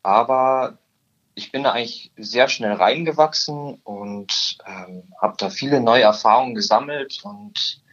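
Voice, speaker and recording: A man's voice: medium pitch, measured pace, a bit bright, lo-fi sound. A nineteen-year-old man from Upper Bavaria, a guest on a podcast, answering with many hesitant fillers. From Upper Bavaria, Germany. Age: teens. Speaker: guest, insurance trainee. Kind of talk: podcast interview.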